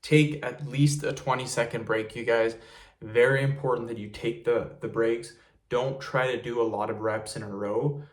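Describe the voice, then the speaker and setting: A young man talks straight to camera as the host of a casual tutorial. His pitch sits in the middle of the male range. He keeps things relaxed and repetitive, often calling his viewers "you guys". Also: moderate pace. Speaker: fitness video host.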